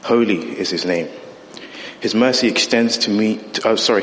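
A low-voiced man reads scripture and prays in church in an earnest, slightly halting way. The room is echoey with a long reverb, and faint ambience sits behind him. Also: slight background noise. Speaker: church member leading reading and prayer. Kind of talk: scripture reading and prayer. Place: church building.

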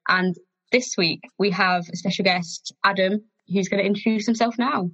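A woman's voice: medium pitch, steady tone, normal speed, a bit bright, no echo, muffled recording. A twenty-year-old woman co-hosting a casual podcast, light and giggly as she talks. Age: young adult. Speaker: podcast co-host.

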